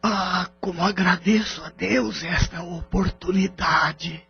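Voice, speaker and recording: A man's voice: high voice, fairly even intonation, creaky, breathy edge, full character voice. A man with a Brazilian accent voicing the emotional spirit of a loving old father, speaking tearfully in an audio drama. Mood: moved, grateful. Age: older. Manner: slow, tearful, heartfelt delivery. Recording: slightly muffled, music behind.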